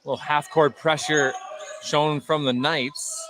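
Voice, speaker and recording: Higher-pitched man calling basketball over gym noise. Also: steady tone, measured pace. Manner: clipped descriptive phrases following the action. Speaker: play-by-play announcer. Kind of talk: live basketball radio commentary. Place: indoor basketball gym, courtside broadcast. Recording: noisy gym sound, bouncing balls. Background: loud gym ambience, basketball bounces.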